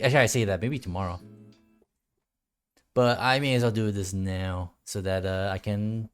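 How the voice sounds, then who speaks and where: A man's voice: on the low side, darkish tone, clean tone. A man streaming a game with casual solo commentary, often muttering to himself and casually swearing.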